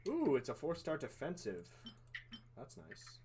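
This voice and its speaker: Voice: medium pitch, wildly swooping pitch, unhurried, a little hiss or hum. A game streamer giving casual, sweary, wry live commentary and talking back to the game. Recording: loud game music behind.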